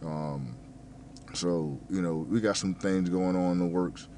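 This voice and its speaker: Deep-voiced man mumbling through a casual ramble. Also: measured pace, dark tone, a little hiss or hum. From New Jersey. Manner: stumbles over words, many fillers, curses freely. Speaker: restaurant manager and fitness vlogger.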